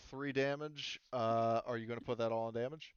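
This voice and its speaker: A man's voice: mid-range voice, steady tone, fairly quick, smooth, no echo, muffled recording. A man running a tabletop roleplaying game as game master, explaining rules and action casually with some swearing.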